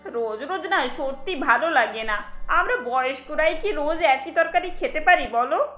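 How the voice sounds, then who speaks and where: A woman voicing a gentle, sympathetic elderly mother-in-law in a cartoon, soothing and lamenting. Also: sits high, lively intonation, moderate pace, reverberant, dull audio, soft background music. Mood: gently reproving.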